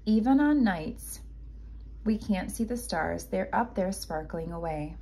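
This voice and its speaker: Woman as a gentle, unhurried storybook mother raccoon. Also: medium pitch, lively intonation, vocal fry, breathy edge, full character voice. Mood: tender explaining. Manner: soothing, reassuring, patient. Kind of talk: children's storybook read-aloud.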